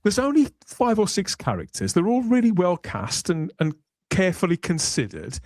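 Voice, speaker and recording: A man hosting a podcast panel discussion, talking in a measured, thoughtful way. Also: sits high, huge pitch swings, a little mellow, no room sound, studio quality. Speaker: podcast host. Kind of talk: panel discussion of a sci-fi series.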